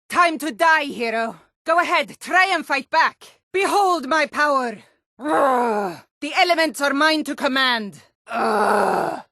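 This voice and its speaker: A woman's voice: on the high side, fairly bright tone, no echo. Stern, menacing warrior woman, dry close recording. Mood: menacing, taunting. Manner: stern, threatening, taunting. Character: tough, menacing female Nord guard and bandit. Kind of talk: video game character voice lines.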